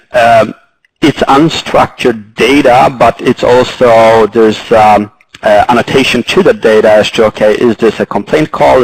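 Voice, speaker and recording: A man with a Swedish accent speaking as a panelist, halting and full of fillers and restarts. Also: mid-range voice, breathy edge, no room sound, low-quality recording. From Sweden. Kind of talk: panel discussion.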